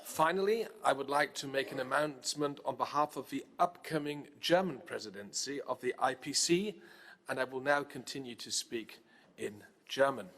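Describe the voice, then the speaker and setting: A male parliamentary delegate gives a measured, formal conference speech with occasional fillers. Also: medium pitch, a bit bright. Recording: reverberant conference hall.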